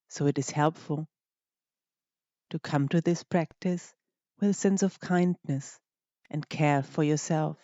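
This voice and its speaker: A meditation teacher gently guiding a practice in short, unhurried phrases with pauses between them. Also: medium pitch, no echo, lo-fi sound. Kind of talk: guided meditation narration.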